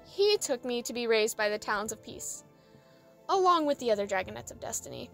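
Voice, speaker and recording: A woman playing a small, unsure young female dragon in an audio drama, earnest and halting. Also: fairly high pitch, lively intonation, creaky, fairly bright tone, soft background music. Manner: hesitant, halting delivery.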